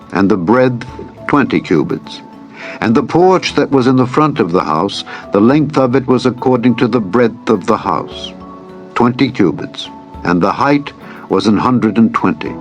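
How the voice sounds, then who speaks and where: A man reading scripture as a scripted audiobook narration, in a measured, solemn manner. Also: on the low side. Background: music bed.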